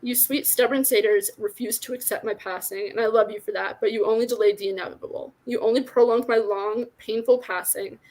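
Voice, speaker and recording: Woman reading as gentle, forlorn ancient forest god. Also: fairly high pitch, fairly even intonation, fairly quick, hardly any pauses, natural voice, rough recording. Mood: weary, gentle.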